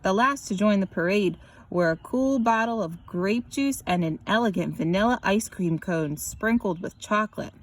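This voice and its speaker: Casting: female storyteller, mid-pitched voice, expressive picture-book narration with animated emphasis. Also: normal speed. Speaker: children's story reader.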